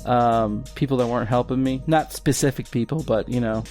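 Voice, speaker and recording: A man's voice: medium pitch, fairly even intonation, brisk, a little mellow, faint music behind. A man hosting a podcast in casual chat, laid-back and rambling, laughing often. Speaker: podcast host.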